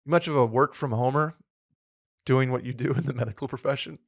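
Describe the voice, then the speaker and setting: A man co-hosting a podcast in casual chat, with a hoarse, slightly nasal voice and a rambling, upbeat way of talking. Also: medium pitch, brisk, no echo, studio quality. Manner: rambling, filler-heavy, upbeat. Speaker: podcast co-host.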